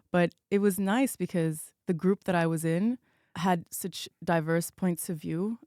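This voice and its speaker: A woman's voice: fairly low pitch, creaky, darkish tone, no echo, studio quality. A woman from Bahrain speaking as a podcast guest in a casual round-table discussion. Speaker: podcast guest, product manager.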